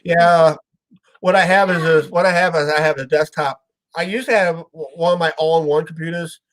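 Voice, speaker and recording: Guy on a home livestream, kinda stuffed up nasal voice, rambles w lots of uhs, a bit high. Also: fairly even intonation, normal speed, dry recording. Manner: rambling, with many "uh" restarts. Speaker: show host. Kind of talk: casual live-stream chat.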